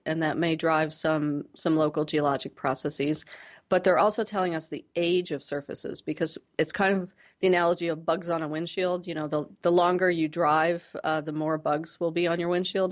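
A woman speaking as a scientist guest in a radio interview, explaining things plainly and in a measured way, pausing to choose her words. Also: sits low.

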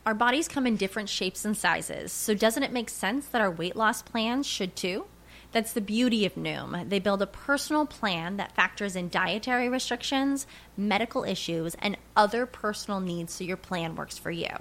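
Woman reading a modern ad, medium pitch. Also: animated, normal speed, a bit bright. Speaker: ad voice-over. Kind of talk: scripted modern advertisement.

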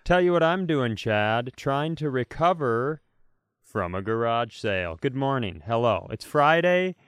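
American male podcast co-host, crisp voice in a dry close space, casual comic storytelling. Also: mid-range voice, expressive, measured pace, fairly bright tone, no echo. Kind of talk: casual comedic chat.

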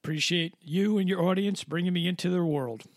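A man in his fifties from Connecticut speaks with a gravelly voice, a little higher than most men. He answers interview questions in a steady, matter-of-fact way, and the recording is dry and close. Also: brisk, dry recording. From Connecticut, USA. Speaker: interview guest.

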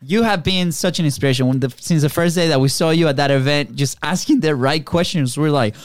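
A man's voice: fairly high pitch, fairly quick, dry recording. A man co-hosting a podcast, introducing and welcoming a guest with enthusiastic, hype-filled energy. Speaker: podcast co-host.

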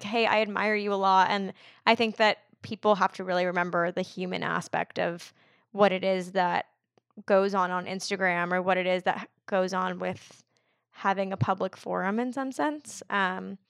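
Guest: woman in her twenties, casual and chatty, loads of "like", mid pitch, dry close mic. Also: steady tone, normal speed, clear, resonant tone, no echo. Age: 20s. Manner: chatty, fills speech with "like". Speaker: podcast guest. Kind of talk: casual conversational interview.

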